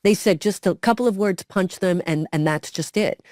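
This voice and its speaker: A middle-aged woman co-hosting a casual interview, telling her story in a lively way and acting out the quotes. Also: on the low side, moderate pace, no echo, studio quality. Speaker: podcast co-host, former cast member.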